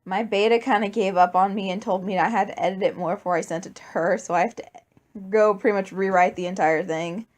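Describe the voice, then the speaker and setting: A woman's voice: mid-range voice, fairly even intonation, fast talker. A woman reading and chatting as an amateur fan fiction writer and narrator. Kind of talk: scripted story narration and casual chat.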